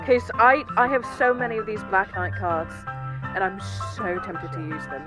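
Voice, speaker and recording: Relaxed woman chatting on a stream. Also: medium pitch, moderate pace, music in the background, poor audio. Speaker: stream co-host. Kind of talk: casual stream chat.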